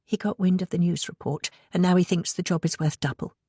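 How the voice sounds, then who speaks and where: A woman's voice: fairly low pitch, fairly even intonation, fairly quick, dark tone, breathy edge, no room sound. Tense British wife and mother, played by a female narrator, controlled and urgent, close dry audiobook sound. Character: Victoria, tense scheming middle-class wife and mother.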